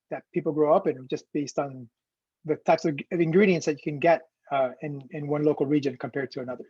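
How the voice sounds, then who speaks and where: A man's voice: on the high side, darkish tone, breathy edge, no room sound. Guy from ecuador chatting thru a cooking class, lots of you knows, muffled lo-fi sound. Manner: loose, rambling, many fillers and restarts. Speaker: cooking instructor. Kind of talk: casual online cooking class. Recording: dull, lo-fi call audio.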